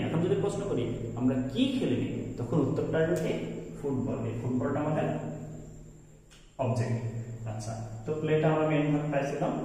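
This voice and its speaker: Bangladeshi guy teaching a lesson, soft dark tone, far from the mic in a big echoey room, explains step by step. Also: medium pitch, a little hiss or hum. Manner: repetitive, explanatory, posing questions and answering them. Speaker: English grammar teacher. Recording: very echoey room, distant microphone.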